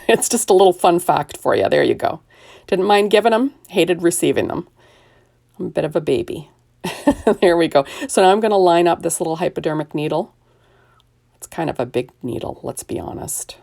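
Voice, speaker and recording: Older woman host, low husky rough voice with fry, chatty self-deprecating craft tutorial. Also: a little mellow. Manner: chatty, self-deprecating, narrates each step with "there we go". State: hoarse, recovering from illness. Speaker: card-making video host. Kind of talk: casual crafting tutorial narration.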